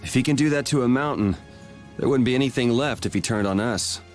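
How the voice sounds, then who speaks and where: Man acting as young male leader, easygoing, dry, medium pitch, anime game, music behind. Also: fairly quick, lightly acted. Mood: casual, dry.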